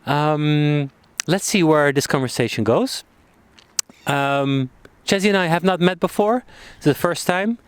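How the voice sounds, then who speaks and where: A man hosting a podcast interview on an outdoor bench, friendly and hesitant, with many pauses and fillers. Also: fairly high pitch, clear, resonant tone, dry recording. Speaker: podcast host. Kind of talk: casual interview.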